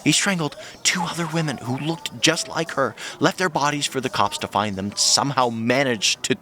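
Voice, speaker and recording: A man's voice: medium pitch, moderate pace, pushed and bright, lightly acted. A man playing a skeptical, candid friend of a detective, emphatic and sardonic, in a naturalistic audio drama.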